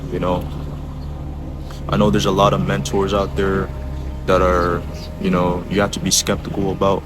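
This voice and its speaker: A twenty-year-old man giving casual, earnest motivational advice as a vlogger, urging the listener on and often checking in with short tag questions. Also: low-pitched. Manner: earnest, exhorting, repeats tag questions like a coach.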